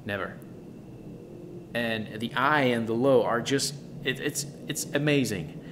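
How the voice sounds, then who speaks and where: A man who is a gives a casual product review in an enthusiastic, emphatic, halting way, often repeating words. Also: mid-range voice, background noise.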